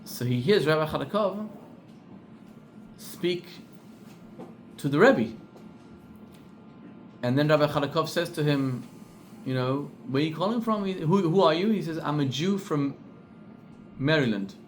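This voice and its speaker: A middle-aged rabbi with a South African accent telling a story in a sermon, acting out the people in it and repeating words for emphasis. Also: male voice, a little high, a little slow, pauses often, slight background noise. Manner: animated storyteller, acts out quoted voices, repeats for emphasis.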